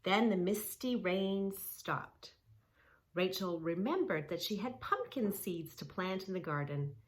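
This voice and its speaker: A woman's voice: mid-range voice, lively intonation, unhurried. A woman reading a story aloud and guiding preschoolers through yoga poses, speaking simply and encouragingly, straight to the child. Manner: simple, encouraging, addressing young children directly. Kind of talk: read-along story with yoga instruction for preschoolers.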